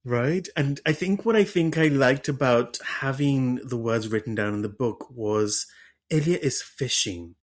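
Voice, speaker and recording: A man hosting a podcast, talking through a book club discussion with animated enthusiasm and frequent restarts. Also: medium pitch, a little slow. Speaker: podcast host.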